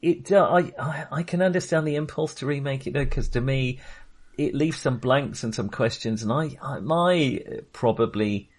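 A man's voice: medium pitch, normal speed. A man in casual film discussion as a podcast co-host, hesitant and self-deprecating in manner.

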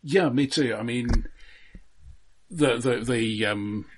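A man's voice: medium pitch, slow pace, a little hiss or hum. A man co-hosting a film podcast, chatting casually in a hesitant, pedantic, detail-minded way. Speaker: film discussion podcast co-host.

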